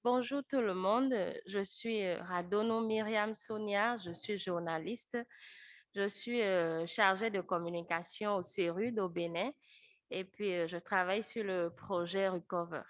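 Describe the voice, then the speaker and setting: A woman's voice: mid-range voice, moderate pace, no echo. Woman, dry close sound. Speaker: journalist, communications officer. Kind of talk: meeting self-introduction.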